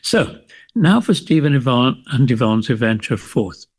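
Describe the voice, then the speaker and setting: A man presenting a community radio show, introducing segments in a relaxed, informal way. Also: mid-range voice, measured pace, breathy edge.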